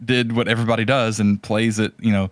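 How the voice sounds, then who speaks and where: A man's voice: lowish voice, little pitch movement, fast talker, dry recording, studio quality. A man co-hosting a podcast in a casual, opinionated and rambling conversational style. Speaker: podcast co-host. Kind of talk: casual chat about video games.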